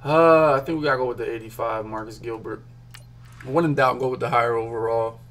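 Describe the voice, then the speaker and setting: A young man from Philadelphia, a gaming streamer, chatting casually and excitedly to his chat while opening card packs in a small room at home. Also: medium pitch, brisk. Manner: chatty, hyped, talks to chat while clicking. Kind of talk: casual pack-opening commentary.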